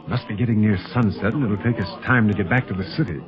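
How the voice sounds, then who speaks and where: Man as a steady secret agent, muffled vintage radio. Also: on the low side, rapid-fire, crowd noise, natural voice. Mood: tense, steady. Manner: calm, reassuring, authoritative. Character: steady, protective adult secret agent, boy's mentor. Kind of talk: 1930s radio serial acting. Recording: muffled 1930s radio recording.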